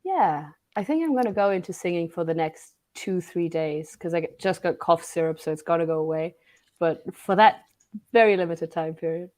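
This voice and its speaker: A young woman with a raspy voice, hoarse from a cold, chatting as a guest on an online stream. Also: lowish voice, animated, normal speed, a little mellow. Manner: laughs between phrases, self-deprecating. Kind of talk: casual online chat.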